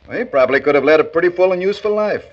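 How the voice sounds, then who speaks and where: A brisk, fair-minded American boss in an old radio drama. His plainspoken lines swing in a lively, slightly high voice, on a muffled vintage recording. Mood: won over. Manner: businesslike, plainspoken. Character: fair-minded, brisk male plant manager. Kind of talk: 1940s radio drama acting. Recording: dull 1940s radio recording.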